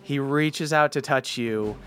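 A man's voice: mid-range voice, unhurried, fairly bright tone, soft background music. A man acting as game master, narrating a tabletop game casually in an informal, slangy way.

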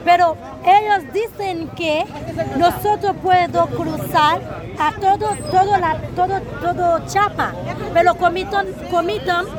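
A young woman from Haiti with a very high voice speaks haltingly, searching for her words in a language that is not her first. She records a voice message outdoors, with a faint murmur of people around her. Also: fairly even intonation, crowd noise. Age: young adult. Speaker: migrant giving testimony. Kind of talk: recorded personal testimony. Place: outdoors in a town near a border.

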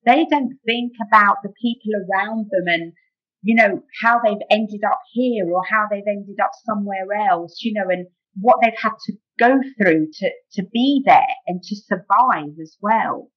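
A woman's voice: medium pitch, normal speed, slightly rough. A middle-aged British woman chatting as an interview guest, peppering her talk with "you know". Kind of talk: casual conversational interview. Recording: muffled, dull audio.

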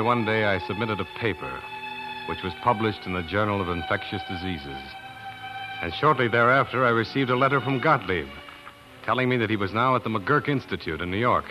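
An actor playing an earnest, idealistic young doctor in 1940s radio drama, solemn and measured. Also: male voice, fairly low pitch, lightly acted, low-quality recording. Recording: 1940s radio recording, loud music behind.

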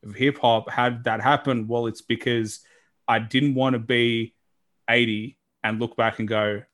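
Man, deep voice, earnest and reflective podcast host. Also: steady tone, a little slow, fairly bright tone. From Australia. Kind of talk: casual interview talk.

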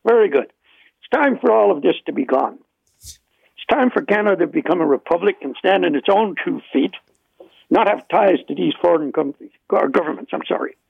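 Irish man, caller, high lively gravelly husky voice, tinny phone line. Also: brisk, dry recording. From Ireland. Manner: emphatic, insistent. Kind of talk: phone-in call.

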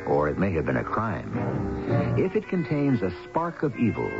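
An actor playing the ominous, portentous host of a radio mystery series, narrating theatrically and deliberately. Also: male voice, deep voice, huge pitch swings, full character voice. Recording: muffled vintage radio broadcast with music.

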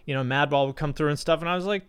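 A man's voice: a little high, racing, fairly bright tone, clear, resonant tone. A man speaking as a podcast host in a casual, conversational way, peppered with "like" and "you know". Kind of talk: casual interview chat and sponsor read.

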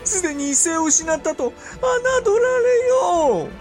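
An actor playing an imperious, impatient and suspicious medieval Japanese ruler in a period audio drama, with theatrical, drawn-out emphasis. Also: male voice, very high-pitched, big pitch swings, soft background music, exaggerated voice. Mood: scornful, indignant. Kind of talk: period radio drama acting in archaic speech.

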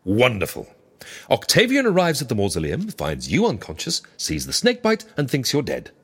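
A dry, formal fixer in a comedy audio drama. The voice is husky and swings very widely in pitch, selling schemes in a measured, businesslike way. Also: wildly swooping pitch, heavily acted. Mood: confident, efficient. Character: wry, businesslike fixer who arranges faked deaths for clients. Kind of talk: comic audio drama acting.

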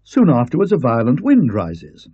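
A man's voice: medium pitch, wildly swooping pitch, moderate pace, breathy edge, no room sound, pitched above the performer's own voice. A man voicing a prim, fussy middle-aged professor who speaks precisely, with slight hesitancy. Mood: lecturing. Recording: dull lo-fi recording.

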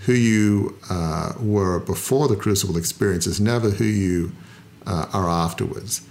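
A middle-aged man with a fairly low voice speaks in a reflective interview. He is thoughtful and self-deprecating, pausing often with "uh" to gather his thoughts. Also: darkish tone. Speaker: founder and interview guest.

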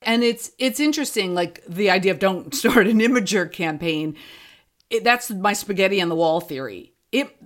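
Female podcast guest, medium register, conversational, self-deprecating and animated, with emphatic repeats. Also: mid-range voice, normal speed. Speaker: guest, comedy fiction podcast creator. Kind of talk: casual conversational interview.

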